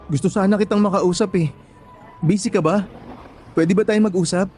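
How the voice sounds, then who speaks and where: A man's voice: high-pitched, fairly even intonation, moderate pace, a little mellow, close to an everyday voice. A man acting a desperate jilted boyfriend in a radio drama, pleading and insistent. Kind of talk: emotional radio drama dialogue.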